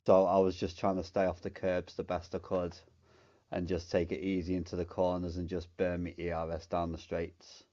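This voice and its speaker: A man speaking casually about winning a sim race, relaxed and plain-spoken. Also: low voice, normal speed, dull audio. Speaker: race winner interviewed. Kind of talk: casual post-race interview.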